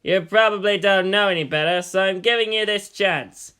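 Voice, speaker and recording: A man's voice: high voice, moderate pace, sharp tone, clean tone, pitched above the performer's own voice. A man voicing a weary older male agent in a story reading, conversational with restrained menace.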